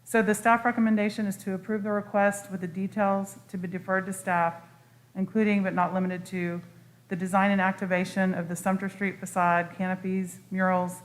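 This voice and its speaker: A woman's voice: medium pitch, flat delivery, a little fast, rough recording. A woman speaking as a city staff member, giving a formal report and recommendations at a meeting.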